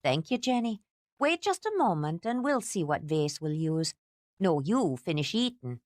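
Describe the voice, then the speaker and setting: A bossy older housekeeper, brisk and fiercely fond, scolding in a lively voice that swings high when she gets worked up. A woman puts her on in a storybook reading. Dry, close sound. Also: no room sound. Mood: commanding.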